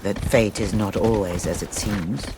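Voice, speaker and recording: An actor playing a wary, formal male companion who speaks in grave, measured warnings. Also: fairly low pitch, fairly even intonation, heavy background noise. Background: game score music.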